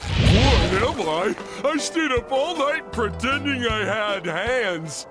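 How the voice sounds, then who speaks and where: Big dumb friendly cartoon starfish, goofy drawl, really slow, music under it. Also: male voice, very high voice, lively intonation, exaggerated voice. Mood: puzzled, slow. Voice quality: goofy, thick. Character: dim-witted, good-natured, childlike male starfish. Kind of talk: cartoon game cutscene acting.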